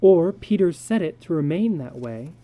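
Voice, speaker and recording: A man's voice: on the high side, lively intonation, normal speed, dark tone, voice pushed up, lightly acted. A man voicing a thoughtful, worried wife and mother in a story, in a tentative, reasoning way. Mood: worried, speculating.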